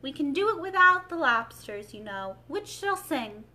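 A woman's voice: high voice, sing-song, normal speed, sharp tone, slight background noise, pitched above the performer's own voice, full character voice. Woman as a solemn, emotional storybook Mock Turtle. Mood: eager. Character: melancholy, tearful old-fashioned Mock Turtle, solemn yet excitable. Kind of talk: read-aloud storybook character.

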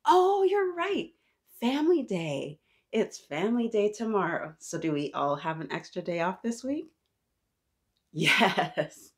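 A woman's voice: mid-range voice, very animated, takes it slowly, breathy edge. A woman in her thirties hosting a children's storytime, reading aloud expressively and chatting with young listeners as if they answer. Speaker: children's storytime host and reader.

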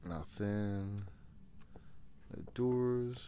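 A man's voice: on the low side, drawn-out words, warm tone, slight background noise. A man in his thirties giving casual live commentary as he plays a horror game, jumpy and swearing freely as he talks to himself. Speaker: video game streamer. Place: small room at home. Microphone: desk microphone close to the mouth.

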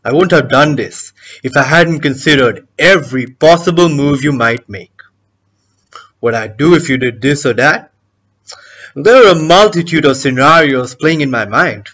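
A man performing a theatrical monologue as a smug, menacing schemer, taunting his victim in a deliberate, sardonic way. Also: fairly high pitch, lively intonation, fairly bright tone.

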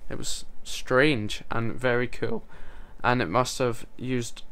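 Chatty young man, smooth voice. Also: medium pitch, fairly even intonation, slow, a bit bright. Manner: chatty, rambling, self-mocking with small laughs. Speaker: vlogger. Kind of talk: casual talk to camera. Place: bedroom, indoors. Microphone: camera mic at close range.

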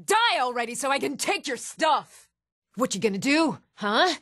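A woman's voice: high voice, big pitch swings, normal speed, fairly bright tone, dry recording, clean studio sound, exaggerated voice. An actress playing a cruel, gloating female bandit raider in a fantasy video game, menacing and jeering. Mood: aggressive.

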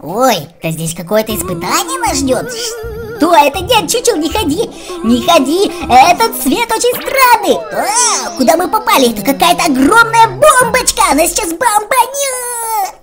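A woman co-hosts a children's game video in a very high, childlike voice. Her delivery is animated and exclamatory, leaping widely in pitch over loud music. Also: moderate pace. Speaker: let's play co-host. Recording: music behind the voice.

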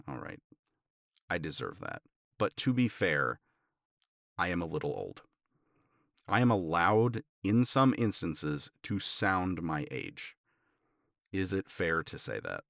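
A man playing a tender, teasing older boyfriend in an intimate romance ASMR roleplay, murmuring in a halting, playful way. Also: low voice, very animated, gravelly, a bit bright, no room sound, clean studio sound, full character voice. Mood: wry, affectionate. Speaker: audio roleplay voice actor.

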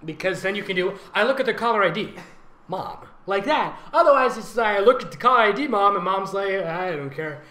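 A man's voice: high-pitched, animated, brisk, pushed and bright. A man hosting a comedic read-aloud of fan fiction, speaking in a theatrical, giggly, sarcastic manner with mocking commentary. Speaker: host, fan fiction reader and voice actor. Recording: roomy echo with faint noise.

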